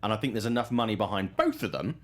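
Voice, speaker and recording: A man's voice: on the low side, expressive, fast. A man hosting a talk show, giving conversational film commentary and thinking aloud as he goes.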